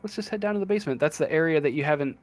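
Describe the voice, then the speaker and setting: A man narrating a tabletop game casually as game master, improvising in a hesitant, halting way. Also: fairly high pitch, soft background music.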